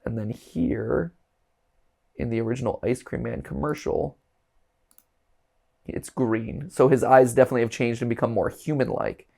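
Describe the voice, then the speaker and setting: A man hosting a casual reaction chat, animated and jokey, now and then breaking into silly voices. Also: medium pitch, normal speed, vocal fry, pauses often. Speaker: host.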